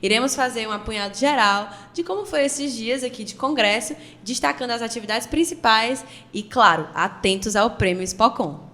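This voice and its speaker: Female podcast co-host, slightly high voice, long room reverb. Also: lively intonation, normal speed, few pauses, a bit bright. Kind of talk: hosting a panel podcast. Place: makeshift studio at a university campus event. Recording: long reverb, faint ambient noise.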